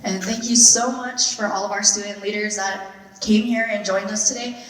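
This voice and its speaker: A woman's voice: mid-range voice, normal speed. Upbeat woman moderating a panel. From Hawaii. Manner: upbeat, animated. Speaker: panel moderator, airline pilot. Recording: distant mic in echoey hall.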